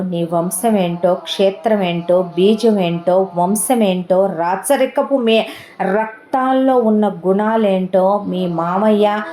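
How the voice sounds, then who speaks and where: A resolute young woman presses her point in slow, weighty, repeating phrases, as a woman storyteller voices her. Her tone is mellow and soft. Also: mid-range voice, lightly acted. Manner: measured, rhetorical and emphatic. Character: determined young woman revealing hidden truths.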